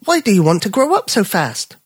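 A storyteller voicing a small old male dog who is polite, gentle and sad. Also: medium pitch, sing-song, brisk, husky, no echo, clean studio sound, pitched above the performer's own voice. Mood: wistful. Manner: polite, wistful.

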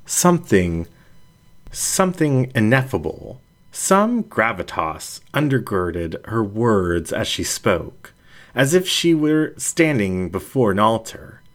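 A man reading first-person fiction aloud as a storyteller, in an expressive and deliberate manner. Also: lowish voice. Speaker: audiobook reader.

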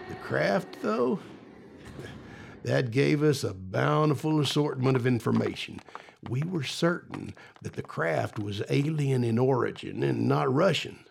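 An actor playing a confident, secretive base commander in an audio drama, speaking in a self-assured, expository way with a gravelly voice. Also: male voice, mid-range voice, darkish tone, full character voice.

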